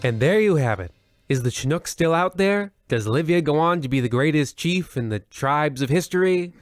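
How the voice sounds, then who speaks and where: An actor playing a self-promoting, mock-serious host of an old-time radio story anthology. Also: male voice, a little high, expressive, normal speed, soft background music, natural voice. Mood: smug, mock-solemn. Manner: pompous, mock-dramatic.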